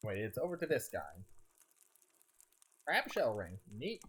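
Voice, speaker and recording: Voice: medium pitch, wildly swooping pitch, measured pace, fairly bright tone. A chatty streamer rambles through a play session and laughs easily. The voice has a scratchy edge from a slightly sick throat. There is a faint hiss behind it. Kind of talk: casual gameplay chat.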